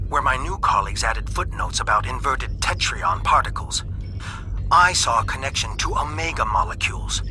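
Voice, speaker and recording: An actor playing an anxious, principled alien scientist in a video game, speaking in an earnest, measured, confiding way. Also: male voice, medium pitch, lightly acted, low-quality recording. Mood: wistful, resigned. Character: anxious male alien scientist, a principled dissident leaking secrets. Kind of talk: scripted game voice acting, recorded message monologue. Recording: music behind the voice. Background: music.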